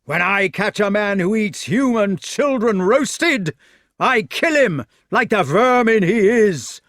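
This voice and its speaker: A man voicing a fat, bullying villain of a knight in a storybook, shouting with scornful bluster. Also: high-pitched, expressive, slow, no room sound, studio quality, pitched above the performer's own voice, heavily acted. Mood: scornful, impatient.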